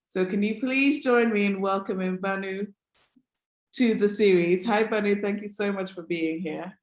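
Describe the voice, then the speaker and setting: A woman's voice: medium pitch, moderate pace, roomy sound. A woman hosting a career interview series, upbeat and effusive with praise for her guest. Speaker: interview host.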